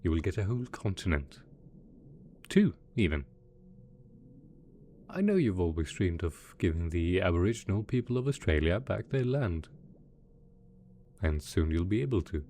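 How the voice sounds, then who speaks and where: A man playing a doting, possessive mad scientist boss in an ASMR roleplay, soft-spoken, coaxing and sweetly sinister. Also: low voice, big pitch swings, normal speed, long pauses, a little hiss or hum. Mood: affectionate, soothing. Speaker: voice actor in audio roleplay.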